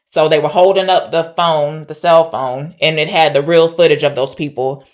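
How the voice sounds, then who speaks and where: A woman's voice: deep voice, steady tone, moderate pace. A woman co-hosting a podcast, talking informally as she retells and discusses a film. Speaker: podcast co-host.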